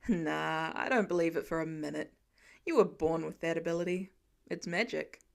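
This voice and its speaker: A woman's voice: low voice, normal speed, creaky, pitched below the performer's own voice. A woman voicing a playful, dryly teasing adult man, a grumbling dad, in a fiction audiobook. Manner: playful, dry, wheedling.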